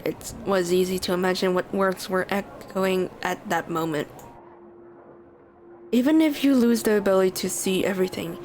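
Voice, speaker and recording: A woman's voice: mid-range voice, slight background noise. A woman streaming a story game, reacting and reading the game's text aloud. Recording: loud game music behind.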